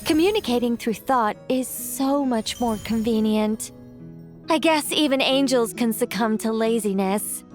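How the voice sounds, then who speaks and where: A woman voices an ancient female angel who tells tales in a video game. The voice sits somewhat high, with measured, eloquent phrasing and a playful, wry edge. Music plays behind the words. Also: close to an everyday voice. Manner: eloquent, measured, gently teasing. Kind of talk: video game character acting, storybook narration.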